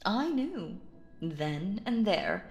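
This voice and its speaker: A woman acting out a sinister, possessive stage magician in an intimate audio roleplay, cooing endearments theatrically and slipping into breathy, menacing whispers. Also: medium pitch, big pitch swings, very slow, darkish tone, echoey room, soft background music. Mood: adoring, obsessive.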